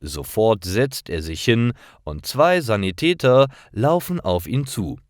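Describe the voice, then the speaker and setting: Low-voiced, slow-paced male storyteller. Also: sing-song, no room sound, clean studio sound. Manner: careful, deliberate reading. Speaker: narrator of stories for language learners. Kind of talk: scripted storytelling narration for learners.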